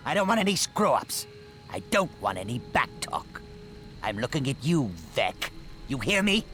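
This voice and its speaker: A cranky old gnome inventor for a fantasy video game. He barks scornfully and impatiently, his pitch leaping wildly up and down. Music plays clearly behind him. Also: sing-song, normal speed, a bit bright. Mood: bossy, demanding. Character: cranky, arrogant old male gnome-like inventor, imperious and callous.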